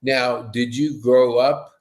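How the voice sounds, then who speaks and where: A man's voice: mid-range voice, fairly even intonation, slow, darkish tone. A middle-aged man hosting a casual podcast interview, effusive and rambling, with stop-and-start questions. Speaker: podcast host.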